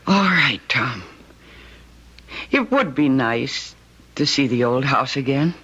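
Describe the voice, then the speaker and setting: A woman's voice: very deep voice, expressive, takes it slowly, full character voice. An actress playing a weary, wistful married woman in an earnest, sentimental American radio drama style. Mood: resigned, hopeful. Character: weary, wistful married woman attached to her childhood home. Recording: noisy, dull old radio recording.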